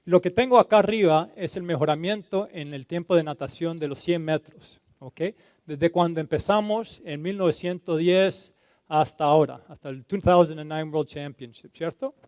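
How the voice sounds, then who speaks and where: Halting Colombian-born man giving a talk. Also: on the high side, darkish tone, dry recording. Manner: halting, repeats words, slips into English terms. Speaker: conference speaker. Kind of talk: conference talk. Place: conference hall stage.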